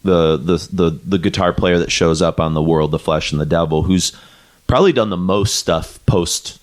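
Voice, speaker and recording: A man's voice: low-pitched, moderate pace. A man co-hosting a podcast, chatting casually about music with lots of fillers and restarts. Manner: rambling, many fillers and restarts; reads quotes aloud. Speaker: podcast co-host.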